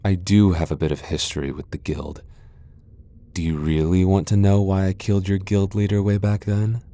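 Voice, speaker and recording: A proud vampire lord played by a man in an ASMR roleplay drama. His voice sits extremely low and has a dark, mellow tone. He comes across as a guarded loner, sardonic and haughty, reluctant to let anyone close. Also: level tone, normal speed, close to an everyday voice. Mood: grim, scornful.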